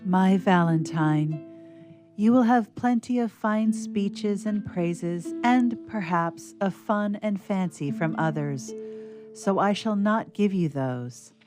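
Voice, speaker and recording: Woman playing an earnest schoolgirl, careful and deliberate. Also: mid-range voice, music in the background, close to an everyday voice. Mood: solemn, earnest. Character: earnest, gentle, proper schoolgirl reading her valentine. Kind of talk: radio theatre acting. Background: harp underscoring.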